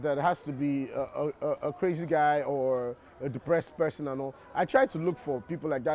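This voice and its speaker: A man's voice: fairly high pitch, a little mellow, slight background noise. A Nigerian man, an actor in an interview, explaining his craft reflectively and often restarting his sentences. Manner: reflective, restarts and repeats words while explaining. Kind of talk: interview answers.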